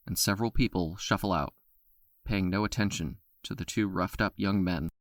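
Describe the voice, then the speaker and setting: A man narrating an audio drama with a steady, scripted storytelling delivery. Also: low-pitched, normal speed, no room sound, clean studio sound.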